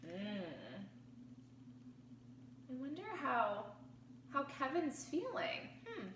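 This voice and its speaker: A woman reading a picture book aloud to children like a teacher, expressively, pausing to pose questions to her young listeners. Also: high voice, huge pitch swings. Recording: roomy, distant mic with faint noise.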